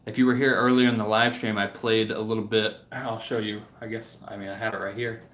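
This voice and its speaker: A man's voice: fairly low pitch, little pitch movement, fairly quick, fairly bright tone. A man chatting casually to viewers as a livestream host, in a hesitant, rambling way that often trails off. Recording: roomy, echoing room sound.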